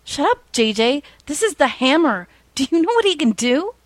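A woman's voice: high-pitched, very animated, normal speed, no room sound, voice pushed up. A woman voicing a panicky amateur male bank robber in a story, with raised, urgent delivery. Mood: panicked.

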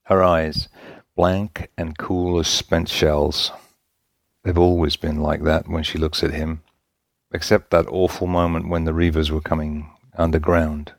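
A man's voice: a bass voice, no room sound. A man narrating a scripted story written in a folksy vernacular, as an audiobook-style reader.

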